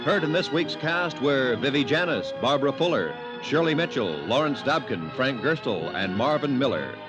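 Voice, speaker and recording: A man's voice: mid-range voice, lively intonation, normal speed, low-quality recording. An American man announcing in a polished, formal 1950s radio style. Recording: vintage radio recording over music.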